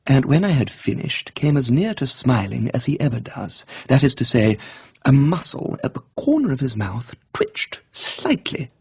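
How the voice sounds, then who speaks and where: A British male narrator reads a comic story with breezy, theatrical flair. His voice is husky and expressive, with lively pitch swings, in a slightly dull recording. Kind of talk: scripted comic first-person narration.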